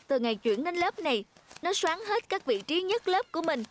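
A woman playing a proud, competitive schoolgirl in an animated cartoon. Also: extremely high pitch, brisk. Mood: resentful, upset.

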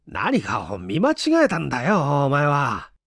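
A man voicing a gruff, scolding husband who hides his unease, speaking bluntly in a dramatized story reading. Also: fairly high pitch, wildly swooping pitch, a little slow, a bit bright, no echo, clean studio sound, exaggerated voice. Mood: scolding, uneasy. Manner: brusque, blunt.

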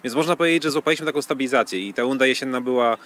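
A man in his thirties giving measured, hesitant answers in a sports interview, speaking into a handheld microphone on a pitch. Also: a bit bright. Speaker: football club official being interviewed. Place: outdoors on a football training pitch. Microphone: handheld reporter microphone. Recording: outdoor field noise.

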